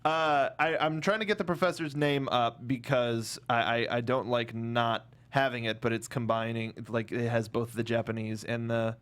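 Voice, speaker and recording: A man hosting a casual panel discussion, talking in a joking, rambling and animated way. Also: mid-range voice, moderate pace, hardly any pauses, smooth. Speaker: podcast host.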